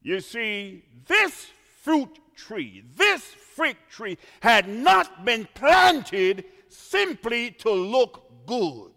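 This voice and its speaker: A man from Trinidad preaching a sermon in a church, swinging from quiet asides to shouted emphasis. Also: extremely high pitch, very animated, drawn-out words, gravelly, few pauses. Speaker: pastor. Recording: reverberant church hall.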